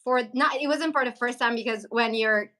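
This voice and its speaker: Travel vlogger, woman from Syria. Bright, higher-pitched voice, informal conversational delivery for a casual live chat. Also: a little fast. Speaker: travel vlogger and livestream host.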